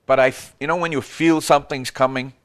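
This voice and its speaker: An older man, mid-pitched, interviews his guests in a friendly way. His questions often start, stop and restart. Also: fairly even intonation, quick pace, clear, resonant tone. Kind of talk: conversational interview.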